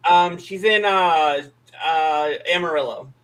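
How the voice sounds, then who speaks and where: A man from the American Midwest talks casually on a podcast. His voice sits a touch higher than most men's, and he tells stories in an easygoing way, chuckling along. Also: slow, a bit bright, poor audio. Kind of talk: casual chat.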